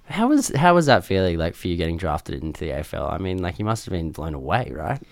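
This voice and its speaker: A man hosts a casual interview in a fairly low voice. He talks quickly in an informal, rambling way, and the sound is close and dry. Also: sing-song, vocal fry, no echo. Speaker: interviewer, radio show host.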